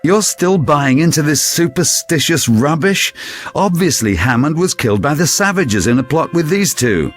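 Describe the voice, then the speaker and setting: A man's voice: fairly high pitch, sing-song, moderate pace, faint music behind. A man playing a haughty, suspicious, argumentative ship's officer in an audio drama, pompous and indignant.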